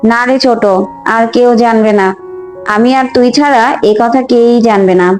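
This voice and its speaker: Woman acting high-voiced crafty elder daughter-in-law. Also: fairly even intonation, normal speed, a little mellow, close to an everyday voice. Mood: indignant plotting. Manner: nagging, assertive. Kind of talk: fairy-tale cartoon dub. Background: background music.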